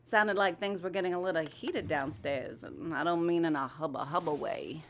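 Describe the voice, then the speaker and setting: A woman's voice: sits low, normal speed, slight background noise, natural voice. A woman voicing a sly, sardonic and pushy magical sidekick to a magician in an audio drama. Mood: teasing. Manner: teasing, sardonic.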